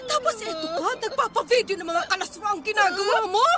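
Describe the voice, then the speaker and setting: Radio drama mother: very high-pitched, furious, shaming and scolding, over loud music. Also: lively intonation, a little fast. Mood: furious, anguished. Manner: scolding, shrill, repetitive. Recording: music under the voice.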